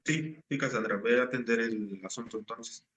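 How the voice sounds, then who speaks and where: Male teacher with a bright voice. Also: mid-range voice, normal speed. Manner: patient, explanatory, checks in with "¿eh?". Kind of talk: online class instruction. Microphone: video call.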